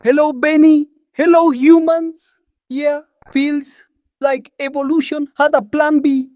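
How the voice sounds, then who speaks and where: A woman's voice: sits high, little pitch movement, slow, darkish tone, dry recording, studio quality. A woman voicing a plucky tiny dinosaur pop star in a puppet show, earnest and a little dramatic. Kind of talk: puppet show character acting.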